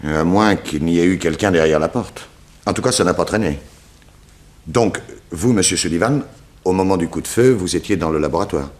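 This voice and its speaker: Low-voiced, probing detective played by a man. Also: close to an everyday voice. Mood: suspicious. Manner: formal, insistent, deliberate questioning. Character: probing, suspicious male detective questioning witnesses. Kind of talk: 1960s French radio detective serial acting.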